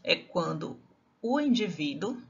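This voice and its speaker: Bahian woman teacher, low, muffled sound. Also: big pitch swings, slow, breathy edge. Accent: Bahian Brazilian Portuguese. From Salvador, Bahia, Brazil. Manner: conversational, frequent tag questions and restarts. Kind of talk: recorded lecture.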